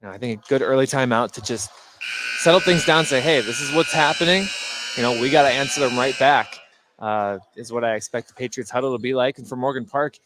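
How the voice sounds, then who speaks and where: Male basketball analyst, mid-pitched, informal and halting, courtside live broadcast with faint noise behind. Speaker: color commentator. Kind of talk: conversational basketball game analysis. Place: indoor basketball gym, courtside.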